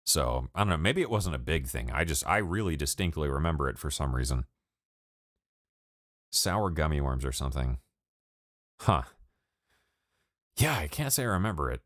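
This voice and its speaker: Deep-voiced profane young man chatting. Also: big pitch swings, normal speed, pauses often, a bit bright, no room sound, studio quality. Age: 20s. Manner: rambling, profane, laughs often. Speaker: music producer streaming live. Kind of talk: casual livestream chat with viewers.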